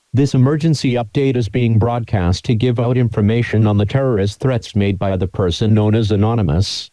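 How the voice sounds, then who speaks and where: A man reading scripted formal emergency broadcast announcements in a flat, impersonal, measured way. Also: mid-range voice, no echo, low-quality recording.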